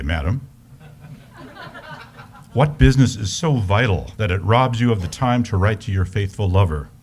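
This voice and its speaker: Man playing a scornful, husky jealous husband. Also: lowish voice, a little mellow. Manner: theatrical, emphatic, accusing. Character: jealous, scornful, wounded Napoleon berating his wife. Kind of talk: dramatic reading of a love letter on stage.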